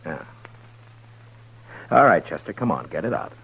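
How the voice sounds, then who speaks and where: Deep, weary Old West marshal. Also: male voice, sing-song, creaky, breathy edge, exaggerated voice. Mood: terse, patient. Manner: terse, deliberate, world-weary. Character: stern, weary Old West marshal, adult man, terse but fair. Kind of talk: old-time radio western drama acting and narration. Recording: dull vintage radio recording with music.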